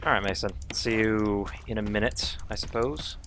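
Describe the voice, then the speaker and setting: A man's voice: fairly low pitch, moderate pace, background noise. A man casually commenting on his own speedrun and chatting with viewers, swearing now and then when frustrated. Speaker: speedrun streamer.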